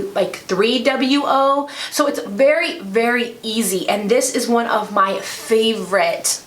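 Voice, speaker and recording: A middle-aged woman presents a chatty beauty review to camera. Her voice is raspy, as she is getting over a cold, and sits at a medium pitch. The room echoes around her, with faint noise behind. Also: a bit bright. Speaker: beauty vlogger. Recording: roomy echo, faint background noise.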